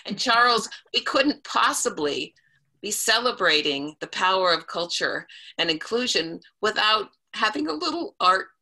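A woman's voice: medium pitch, big pitch swings, unhurried, rough recording. A middle-aged woman hosting a virtual award event from a home room, giving effusive praise over a laptop mic. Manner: warm-hearted, effusive praise. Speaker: event host. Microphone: laptop video call.

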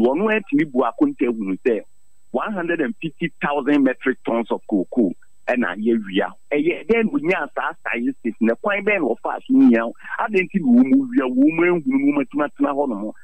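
A man's voice: a little high, vocal fry, no room sound, narrow, tinny audio. A man with a Ghanaian accent speaking emphatically in a radio interview as a farmers' leader, airing grievances with pointed rhetorical questions. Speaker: farmers' association president, interviewee.

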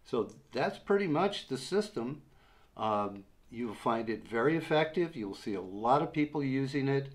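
Slow, patient older man explaining. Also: mid-range voice, lively intonation. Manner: slow, patient step-by-step explaining with many uhs. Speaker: fishing instructor. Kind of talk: how-to demonstration. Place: small room indoors, seated at a table. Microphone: camera in front at desk distance.

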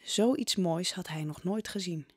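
A woman narrating a scripted radio drama in a measured, suspenseful storytelling manner. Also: fairly low pitch, husky, dry recording, studio quality. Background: faint music.

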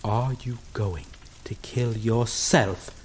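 A woman playing a grieving, possessive vampire in a dramatic ASMR roleplay, speaking haltingly and emotionally with long pauses, vengeful and pleading. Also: rumbling low, a little mellow, a little hiss or hum. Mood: menacing, coaxing. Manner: halting, slow, emotional delivery with drawn-out pauses.

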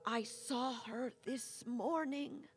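A woman's voice: high voice, slow, music in the background. A woman playing an earnest, flustered nun who runs an orphanage, explaining hesitantly in a comic radio play. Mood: earnest, worried.

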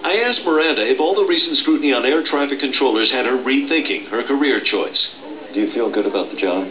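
A man's voice: medium pitch, fast talker. A middle-aged American man reporting as a television news correspondent. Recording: dull roomy TV sound filmed off screen, noisy.

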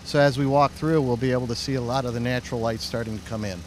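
A middle-aged man, a project manager, answering interview questions outdoors at a construction site in a plain-spoken, halting way with many fillers, speaking into a handheld mic. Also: medium pitch, steady tone, a little mellow, a little hiss or hum. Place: outdoors in an unfinished stadium under construction. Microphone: host's handheld microphone.

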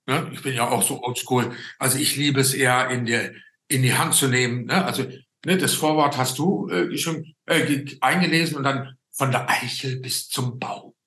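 A man, interviewing conversationally in a halting way, often adding a questioning tag. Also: mid-range voice, steady tone, fairly bright tone. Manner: halting, often tagging sentences with a questioning 'ne?'. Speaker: interviewer and show host. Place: indoor room at home, on a video call. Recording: roomy, echoing video call.

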